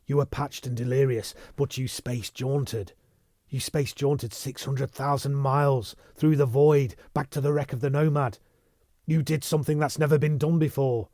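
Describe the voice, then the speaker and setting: A man's voice: a little high, steady tone, brisk, dark tone, no echo, studio quality, pitched above the performer's own voice, close to an everyday voice. A man doing a savage cold interrogator. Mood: menacing. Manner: savage, pounding.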